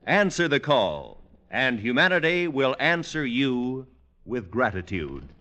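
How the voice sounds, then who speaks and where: Animated, bright American radio announcer man. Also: mid-range voice, unhurried. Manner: solemn, declamatory. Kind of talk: 1940s-50s radio announcing.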